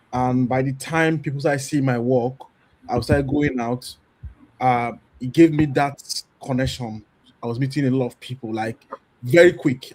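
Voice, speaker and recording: A Nigerian-accented man in his thirties, speaking on a church panel, telling his story with lively acted-out dialogue. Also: mid-range voice, low-quality recording. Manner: animated storyteller, acts out quoted dialogue. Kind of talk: candid testimony on a church panel.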